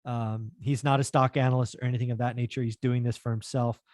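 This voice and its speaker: A man's voice: medium pitch, little pitch movement, fast, darkish tone, no room sound, clean studio sound. A middle-aged man hosting a podcast, greeting his guest in a chatty, upbeat way over a headset. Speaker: podcast host. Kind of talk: casual interview. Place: home studio indoors.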